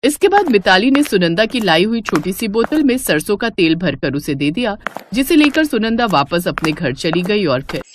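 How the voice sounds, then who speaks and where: A woman narrating a moral story in a measured, even storytelling voice. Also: mid-range voice, a little hiss or hum.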